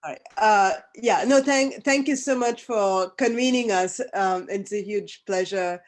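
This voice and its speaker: A person in their fifties from Sri Lanka speaks at a panel over a video call. The delivery is thoughtful and halting, full of ums, uhs and restarts. The voice sits at a middle pitch. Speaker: academic panelist. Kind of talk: reflective panel talk.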